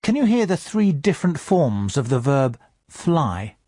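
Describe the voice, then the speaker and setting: A man giving a scripted English lesson as a teacher, explaining clearly step by step. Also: a little high, big pitch swings, a little slow, dark tone, dry recording, studio quality.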